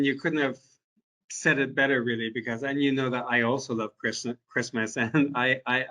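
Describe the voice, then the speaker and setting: A man co-hosts a virtual company event over a video call. His voice is at a middle pitch, and he talks with chatty enthusiasm, restarting sentences now and then. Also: a bit bright, poor audio. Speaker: co-founder and event host.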